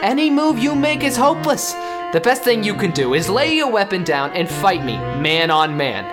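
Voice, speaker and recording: A man's voice: high voice, sing-song, normal speed, over music. A man playing a taunting, calculating murderer in a radio play, smug and menacing as he goads his opponent. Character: taunting, calculating male killer villain. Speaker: actor in an audio drama. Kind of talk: radio theater acting.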